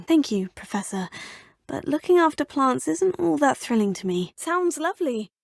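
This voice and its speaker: An actress with a British accent voicing a young woman who is a curious, polite student of magic in a video game, crisp and well-spoken with a lightly wry manner. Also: a little high, a little mellow, no room sound. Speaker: player character in a video game. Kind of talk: game voice clips. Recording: dry studio voice-over.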